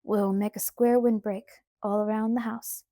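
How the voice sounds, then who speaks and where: Woman reading as a firm, steady pioneer father. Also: mid-range voice, moderate pace, no room sound, clean studio sound, close to an everyday voice. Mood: calm, instructing. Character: homesteading father, steady, insistent family man. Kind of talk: audiobook character voice.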